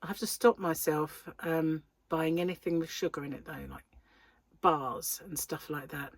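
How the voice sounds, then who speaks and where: A middle-aged British woman with a wheezy breath, chatting casually to camera as host of a crochet channel, self-deprecating and quick to laugh. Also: low voice, no echo. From United Kingdom.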